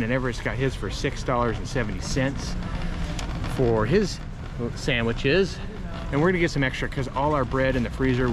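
A man in his forties with an American accent chats casually, an easygoing dad commenting on his shopping as he goes. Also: medium pitch. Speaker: father vlogging a family grocery trip. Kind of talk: casual chat to camera and child. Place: large indoor warehouse store. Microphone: action camera at arm's length. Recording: noisy, busy warehouse store din.

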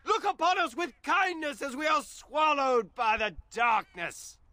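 A man playing a frantic doomsaying zealot in a fantasy video game, ranting in fervent, declamatory shouts. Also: very high voice, animated, unhurried, dry recording, full character voice. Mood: desperate pleading.

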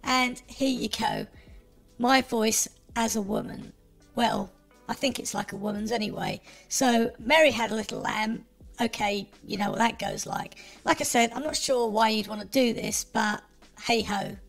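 A man's voice: very high-pitched, unhurried, gravelly, a bit bright, soft background music, pitched above the performer's own voice, full character voice. A man speaking casually through a real-time voice changer that turns his voice into a woman's. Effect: male-to-female voice changer.

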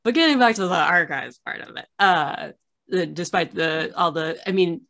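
A woman's voice: fairly low pitch, expressive, moderate pace, creaky, fairly bright tone. A woman in her thirties, an archivist hosting a casual interview over a video call from a small room, talking in a chatty way with many fillers and restarts. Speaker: host, archivist. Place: small room with framed pictures on wall.

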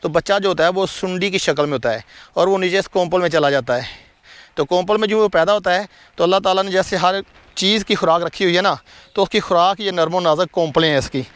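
A man's voice: high-pitched, a little fast, a bit bright, smooth, no echo. A man giving a casual walking tour of his farm outdoors, talking to viewers as he shows crops and animals. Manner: chatty, shows things to viewers as he walks. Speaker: farm vlogger. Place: outdoors on a farm among fields.

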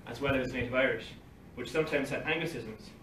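A man's voice: mid-range voice, fairly quick, fairly bright tone. Distant man reading an academic talk. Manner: reads from paper at a lectern, dry asides with short laughs. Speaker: conference speaker. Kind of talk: academic talk read from notes. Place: library meeting room with bookshelves. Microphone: far phone camera across the room. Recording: roomy, distant, faint hiss, livestream quality.

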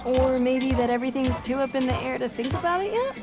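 A woman's voice: sits high, animated, quick pace, over loud music. An actress playing a spirited young American woman who teases playfully and dryly in a video game cutscene. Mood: skeptical. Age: young adult. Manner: playful, dry teasing.

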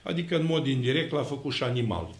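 A middle-aged man from Romania, a priest, giving an emphatic sermon with slow, stressed words. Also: mid-range voice. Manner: emphatic preaching with slow, stressed words and lively hand gestures. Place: church with icons, indoors. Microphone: fixed camera, mid shot. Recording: echoey church room.

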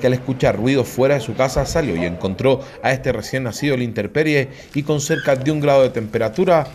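A man's voice: mid-range voice, quick pace, darkish tone, slight background noise. A man reading a scripted local news voice-over with a dramatic tone. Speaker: news reporter.

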